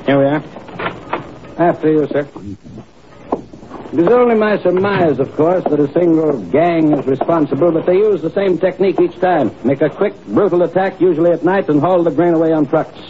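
A courteous police inspector played by a man speaks in a slightly high voice, formal, measured and deferential. The old radio drama recording is muffled and faintly noisy. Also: lightly acted. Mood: grave, polite. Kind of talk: 1950s radio drama acting.

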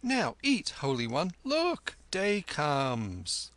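A man doing a high, eager street boy. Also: huge pitch swings, extremely slow, a bit bright, exaggerated voice. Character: street-smart teenage boy, pleading and quick.

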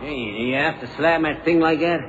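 An actor playing a wry, rambling, hungover painter in an old radio drama. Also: male voice, on the high side, fairly quick, close to an everyday voice. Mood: hungover, sardonic. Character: hungover self-deprecating boastful bohemian painter, confessed killer. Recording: muffled 1970s radio drama, hiss, reverb.

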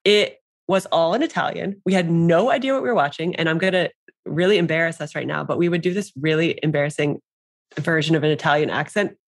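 A woman in her thirties hosting a casual podcast chat, quick and chatty, often laughing. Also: low voice. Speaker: podcast host.

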